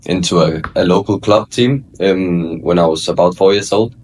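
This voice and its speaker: Deep-voiced young Danish man. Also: normal speed, a little hiss or hum. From Denmark. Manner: relaxed, hesitant, many fillers and restarts. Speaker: guest, college soccer player. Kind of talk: casual interview chat.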